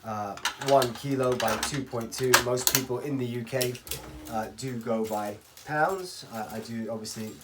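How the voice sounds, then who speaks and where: A man from Spain giving a casual, rambling hands-on tutorial as a racquet stringer, often clearing his throat. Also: medium pitch, unhurried, hardly any pauses. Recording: clattering workshop tool noise.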